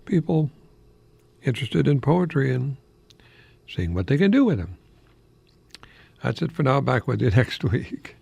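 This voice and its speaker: Older American man with a creaky voice. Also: medium pitch, sing-song, measured pace, long pauses, a little mellow. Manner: professorial, chatty, rhetorical questions, chuckling asides. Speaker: radio host, retired college professor. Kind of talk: informal radio talk with verse recitation.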